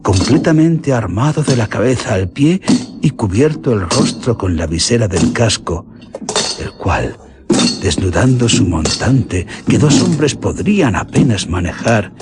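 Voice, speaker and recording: A man's voice: on the low side, sing-song, unhurried, very noisy. An actor playing a grave, ominous bandit who tells his story theatrically in a radio horror drama, with a Castilian Spanish accent. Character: bandit recounting his gang's dark deeds, grave and ominous.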